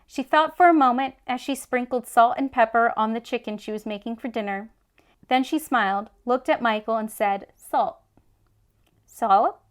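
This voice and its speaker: A woman reading a children's story aloud with expressive storybook narration. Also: on the high side, moderate pace, smooth. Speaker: children's read-aloud host.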